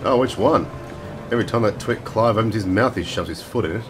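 A man's voice: fairly low pitch, brisk, natural voice. An actor playing a snarky male radio co-host who mocks politicians in a sarcastic way, with music behind him. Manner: sarcastic, mocking.

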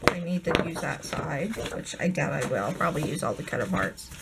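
Woman vlogger, a bit hoarse, low-pitched, chatty and gushing, faint hiss behind. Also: lively intonation, brisk. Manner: gushing, chatty, many ums. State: hoarse, losing her voice. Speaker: crafting vlogger. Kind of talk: casual haul video chat.

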